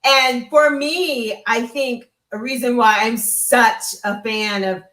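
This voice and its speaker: A woman's voice: on the high side, fairly even intonation, slow pace, pushed and bright. A woman giving a casual, heartfelt personal testimonial as a guest, talking effusively and conversationally. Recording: roomy, echoey sound.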